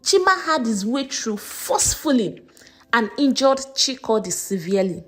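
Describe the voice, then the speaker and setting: A woman's voice: fairly high pitch, sing-song, normal speed, a bit bright. A woman with a Nigerian accent narrating a folktale expressively as a storyteller. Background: music.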